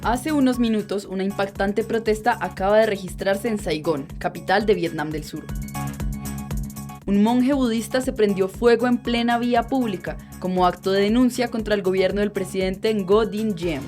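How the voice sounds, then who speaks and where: A woman's voice: medium pitch, lightly acted. Woman acting as a formal female radio news reporter over music. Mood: grave, formal. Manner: formal, measured newsreader delivery. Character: grave female news reporter announcing breaking events. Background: music under the voice.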